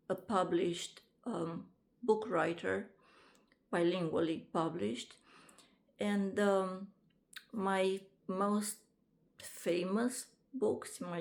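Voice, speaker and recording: A woman, introducing herself to camera in a casual vlog, halting often with ums and restarts. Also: lowish voice. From Romania. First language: Romanian. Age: 50s. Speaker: vlogger and author.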